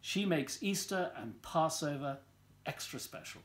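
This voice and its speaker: A man in his sixties reading a children's storybook aloud in an expressive, deliberate storytelling manner, recorded in a living room at home. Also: a little high. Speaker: volunteer story reader.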